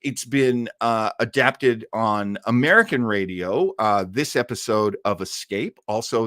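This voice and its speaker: A man's voice: lowish voice, a bit bright, dry recording. A man casually presenting as the host of a live show, chatting informally into a close mic. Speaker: host of an old-time radio show stream.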